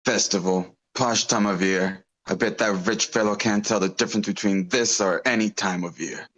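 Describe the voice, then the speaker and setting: Man reading a low-voiced, bitter poor neighbour. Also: steady tone, moderate pace, a bit bright. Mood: sardonic. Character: crude, resentful poor neighbour of a nobleman. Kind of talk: screenplay table read.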